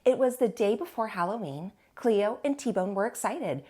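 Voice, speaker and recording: A woman hosting storytime, reading aloud to young children with an expressive, teacherly delivery. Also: medium pitch, moderate pace.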